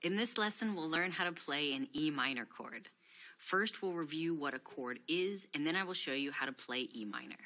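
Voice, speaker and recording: A woman giving a scripted guitar lesson, explaining steps clearly and steadily. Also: low-pitched, normal speed, bright, forceful tone. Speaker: guitar instructor.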